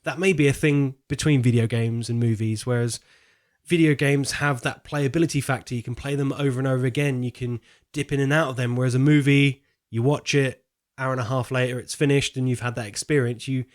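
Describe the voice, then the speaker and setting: A man's voice: mid-range voice, fairly even intonation, fairly quick. A man co-hosting a podcast, discussing films casually and thinking aloud, often repeating a word as he goes. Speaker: podcast co-host.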